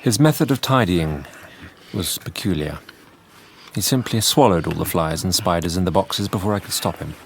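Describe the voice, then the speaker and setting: Grave Victorian asylum doctor, low register, diary narration for radio drama over background noise. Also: male voice, normal speed. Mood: measured, grave.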